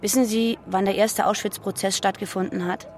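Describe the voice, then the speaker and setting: A woman's voice: medium pitch, steady tone, fairly quick, lightly acted. Woman acting a wary west german radical, defiant and terse, radio play, bit of traffic noise behind. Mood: guarded, confessional. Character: guarded, militant West German woman living underground.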